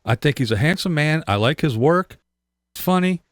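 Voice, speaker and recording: A man's voice: a little high, animated, moderate pace, no room sound, studio quality. A middle-aged man hosting a casual interview, playful and joking. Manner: playful, joking, laughs easily. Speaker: podcast host.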